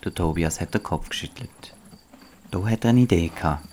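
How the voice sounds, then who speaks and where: A man's voice: low voice, normal speed, a little mellow. A man with a Swiss German accent narrating a scripted children's story.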